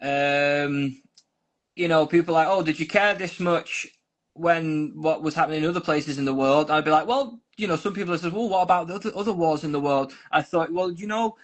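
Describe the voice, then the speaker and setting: A man with a Manchester accent talks loosely over a video call. He rambles, doubles back on his words and wanders into asides. His voice sits a little high for a man. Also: brisk. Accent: Manchester English. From South Manchester, England. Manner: rambling, with frequent restarts and asides. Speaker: volunteer guest. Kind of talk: casual interview chat. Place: Ukraine.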